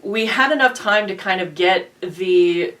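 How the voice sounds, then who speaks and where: A woman's voice: medium pitch, a little slow, a bit bright. A woman chatting casually as co-host of an online channel, playfully teasing. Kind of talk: casual vlog chat. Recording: slightly distant from the microphone.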